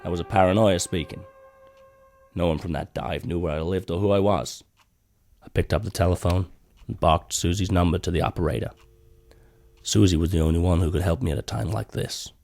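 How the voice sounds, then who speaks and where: A man voicing a cynical, cold, hard-boiled cop in a brooding, terse noir story narration, with a low, gravelly voice. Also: steady tone, brisk, a little mellow. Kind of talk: noir first-person scripted narration. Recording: music bed behind the voice.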